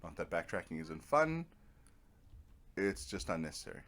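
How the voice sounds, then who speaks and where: A man's voice: deep voice, very animated, moderate pace, fairly bright tone. A man giving casual, rambling let's play commentary, thinking aloud as he goes. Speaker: gamer narrating own playthrough.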